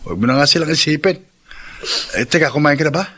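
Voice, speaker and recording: An actor playing a caring, hardworking schoolboy brother in a Filipino radio drama, conversational and reassuring. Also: male voice, a little high, fast, a bit bright, breathy edge.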